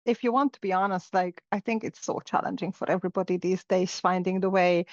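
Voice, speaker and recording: A woman's voice: fairly low pitch, steady tone, a little fast, no room sound. A middle-aged woman from Hungary, a native Hungarian speaker, talking reflectively as an interview guest with many fillers and restarts. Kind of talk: casual interview conversation. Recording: dull, lo-fi sound.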